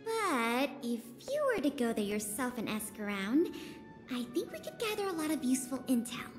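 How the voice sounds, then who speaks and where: A woman's voice: high voice, very animated, normal speed, long reverb tail, faint music behind. An actress playing an eager, bookish young female museum official in a video game, explaining things with polish and enthusiasm. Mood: businesslike, pleased. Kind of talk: scripted video game voice acting.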